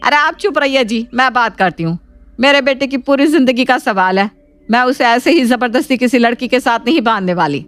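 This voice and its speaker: High-voiced, assertive mother played by a woman. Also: fairly quick, a bit bright, natural voice. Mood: persuasive, brazen. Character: assertive middle-aged mother in a family drama.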